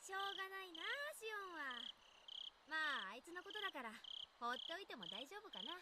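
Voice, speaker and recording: A woman voicing a brisk, bossy teenage girl in a Japanese anime visual novel. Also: extremely high pitch, sing-song, heavy background noise. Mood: resigned. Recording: game music loud behind.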